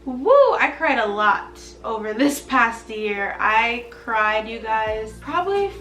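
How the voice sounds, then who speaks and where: A woman's voice: a little high, takes it slowly, far from the mic. A young woman talks casually and animatedly to her viewers, her voice a little nasal from allergies. She sits a little away from the microphone, in a room with a noticeable echo and faint music underneath. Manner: chatty, animated, acts out her own past reactions. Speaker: beauty vlogger. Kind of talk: casual chat to camera. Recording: roomy echo, slightly off-mic, faint music.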